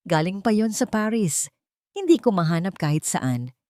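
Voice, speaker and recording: A woman's voice: mid-range voice, big pitch swings, moderate pace, dark tone, dry recording, natural voice. Lady doing a cold bossy filipina daughter-in-law in a story, scheming, voice swings up and down, dry sound. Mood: cold, accusing. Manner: icy, commanding.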